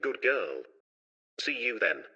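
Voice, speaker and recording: A man's voice: mid-range voice, big pitch swings, takes it slowly, sharp tone, full character voice. A man playing a mocking, menacing police boss in an audio drama. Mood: mock-sweet menace. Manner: mocking, theatrical. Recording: dull, band-limited sound.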